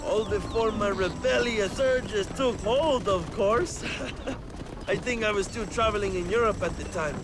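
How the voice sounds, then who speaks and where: A man's voice: sits high, measured pace, over music. An actor playing a vain, womanizing Mexican rebel leader in a video game cutscene, theatrical and grandiose, with a Mexican Spanish accent. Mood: boastful, sly. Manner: pompous, theatrical, effusive, peppering English with Spanish.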